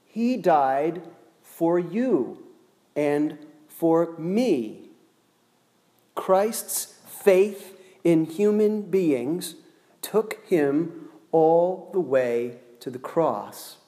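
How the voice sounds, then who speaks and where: A man preaching a sermon, slow and deliberate, with dramatic pauses between words. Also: a little high, mellow. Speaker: pastor. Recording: long hall reverb.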